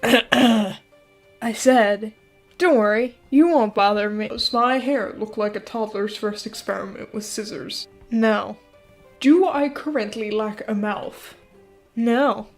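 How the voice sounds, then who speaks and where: A woman performing a comedic dub of a webcomic with a brisk, playful delivery and sudden loud outbursts. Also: on the high side. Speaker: fan dubber voicing a webcomic. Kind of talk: comedic comic dub, quick skits.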